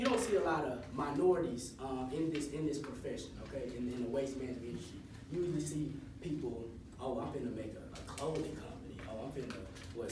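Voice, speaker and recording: A man in his twenties giving a casual, encouraging motivational talk to high school students and leading them in chants. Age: 20s. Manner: casual, encouraging, leads call-and-response chants. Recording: echoey hall, voice from far off, audible room noise.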